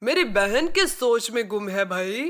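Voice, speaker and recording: A woman playing a boy, a caring older brother who gently admonishes, in a children's moral story. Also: fairly high pitch, expressive, a little slow. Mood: teasing.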